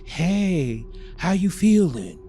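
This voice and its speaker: A man playing a dismissive cheating male partner in a story, falsely bright and deflecting. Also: sits high, sing-song, very slow, soft background music, pitched above the performer's own voice, heavily acted. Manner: hushing, deflecting, falsely cheerful.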